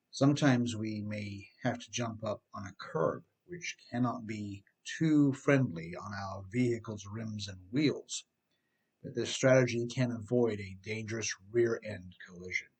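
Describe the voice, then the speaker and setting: A man with a medium-pitched voice narrates an instructional audiobook. He reads as its author, speaking directly to the listener with an emphatic, explanatory manner. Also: slightly rough. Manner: rhetorical questions, direct address to listeners, emphatic. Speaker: author reading own advice book on driving.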